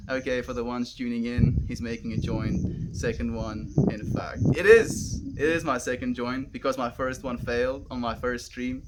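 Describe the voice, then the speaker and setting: A young South African man chatting on a live stream outdoors, earnest and gentle, calling his viewers friend. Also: medium pitch, steady tone, normal speed, few pauses, noisy background. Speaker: livestreamer. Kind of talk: casual live stream chat reading comments. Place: outdoors, wind and cows nearby.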